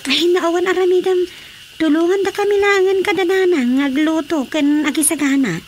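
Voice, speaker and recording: A woman's voice: very high voice, moderate pace. A woman acting a practical, enterprising village woman in an Ilocano radio drama, with an Ilocano accent. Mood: eager. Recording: steady background noise.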